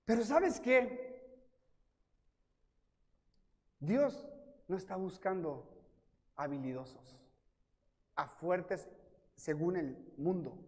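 Mexican pastor preaching in an echoing church. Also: male voice, high voice, drawn-out words, pauses often. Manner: lively, self-mocking storytelling with acted-out quotes. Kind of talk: sermon. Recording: reverberant church hall.

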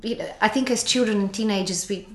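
A woman with a Bulgarian accent and a trace of a New Zealand twang, a writer speaking reflectively as an interview guest, often pausing with fillers. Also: mid-range voice. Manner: reflective, halting, many fillers. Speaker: author guest. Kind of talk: interview conversation. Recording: echoey room, faint noise.

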